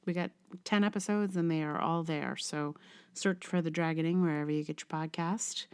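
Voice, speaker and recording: A middle-aged woman hosting a podcast, chatting casually in a halting, informal and self-deprecating way. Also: sits low, fairly even intonation, a little mellow, clear, resonant tone. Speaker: podcast host. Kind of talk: casual podcast outro chat.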